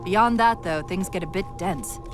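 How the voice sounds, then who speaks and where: A woman voicing a quick-witted young female military AI in a video game, with articulate, urgent briefing delivery. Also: lowish voice, over music, natural voice. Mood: focused, intrigued.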